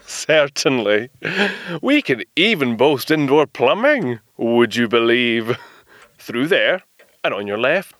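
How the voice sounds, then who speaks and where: An actor with a British accent playing a proud, genteel 1930s inventor in a period audio drama, speaking with clipped, old-fashioned courtesy. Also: male voice, medium pitch, very animated, takes it slowly, a bit bright, no room sound. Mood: courteous, guarded.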